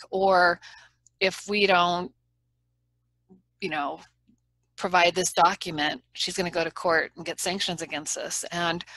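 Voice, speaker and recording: A woman's voice: on the low side, a bit bright, no room sound, low-quality recording. A woman trial lawyer as a guest in an interview, talking in an earnest, frank and persuasive way. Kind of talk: conversational interview.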